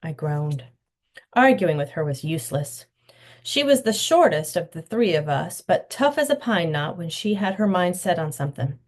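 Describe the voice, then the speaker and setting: A woman reads her own children's novel aloud, her voice sitting on the lower side yet swinging widely in pitch. She tells the story with expressive storyteller energy, bringing the narration to life. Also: moderate pace. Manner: expressive storytelling, acting out the dialogue. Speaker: author.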